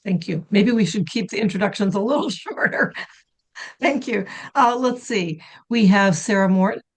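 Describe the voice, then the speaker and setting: A woman chairing a town committee meeting on a video call, polite and brisk as she calls on applicants. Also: medium pitch, expressive, husky. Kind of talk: running a public meeting.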